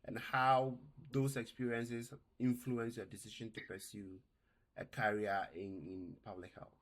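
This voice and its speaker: A man hosting a podcast, reading a prepared introduction and questions slowly and deliberately, with frequent fillers. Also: lowish voice, level tone, a bit bright, low-quality recording. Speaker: podcast host.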